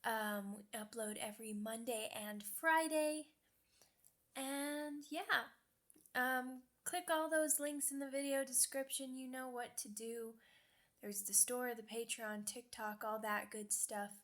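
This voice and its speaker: A woman hosting her channel with a casual, offhand, low-key intro. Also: fairly even intonation, takes it slowly, fairly bright tone. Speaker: channel host.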